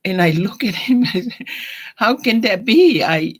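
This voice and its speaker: An older woman from Tonga with a Tongan accent, telling her personal story in an interview in a reflective, halting way. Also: mid-range voice, animated, breathy edge. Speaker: deacon, interview guest.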